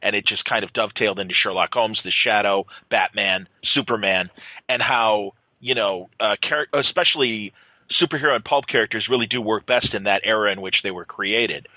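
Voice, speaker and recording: A man's voice: fairly low pitch, a little fast, bright, forceful tone, no room sound, low-quality recording. A middle-aged man chatting casually as an interview host, in an agreeable, attentive manner.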